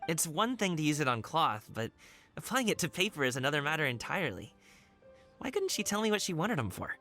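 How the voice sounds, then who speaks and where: A man's voice: on the high side, sing-song, fairly quick, sharp tone, faint music behind, exaggerated voice. An actor playing an earnest, easygoing young man, a dancer in a video game, with casual boyish delivery. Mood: chatty. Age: young adult. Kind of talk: video game character voice acting.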